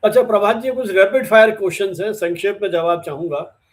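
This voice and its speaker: Male interview host, distinctly high pitch, deliberate conversational questioning. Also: crowd noise.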